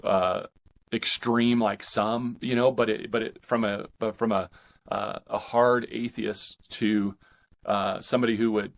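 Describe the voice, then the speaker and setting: American guy in his 40s, lowish voice, casual interview guest, kinda halting but enthusiastic. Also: steady tone, gravelly. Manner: halting, thinking aloud, enthusiastic.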